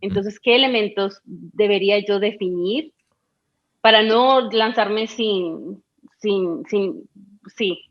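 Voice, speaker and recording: A woman's voice: mid-range voice, takes it slowly, muffled recording. A woman in a casual conversation as a guest, thinking aloud and asking questions in a reflective way. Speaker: podcast guest asking for advice.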